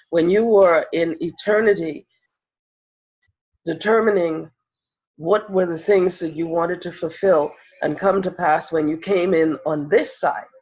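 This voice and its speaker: A man with a head cold and a slightly nasal voice, giving an earnest inspirational spiritual talk full of repeated rhetorical questions. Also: high voice. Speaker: spiritual teacher. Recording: dull lo-fi recording.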